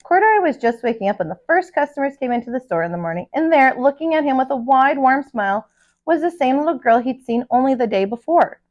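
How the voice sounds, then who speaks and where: Animated woman reading a children's story, highish voice. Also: fast talker, highs cut off. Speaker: teacher and storyteller. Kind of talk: expressive read-aloud of a children's picture book.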